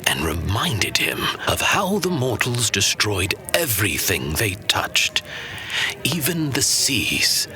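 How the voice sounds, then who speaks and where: Seasoned male master storyteller, acted by a man, fantasy audio drama, very bright forceful edge, slow measured pace, breathy, theatrical, patient mentor. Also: lowish voice, huge pitch swings, soft background music, exaggerated voice. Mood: patient storytelling.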